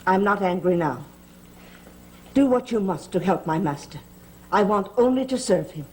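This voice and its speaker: An actress playing a devoted, scheming manor servant with a solemn, formal, submissive way of speaking, in a gothic soap. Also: female voice, mid-range voice, lively intonation, moderate pace, darkish tone, a little hiss or hum, close to an everyday voice, low-quality recording. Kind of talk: 1960s gothic soap opera acting. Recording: old TV soundtrack with background score.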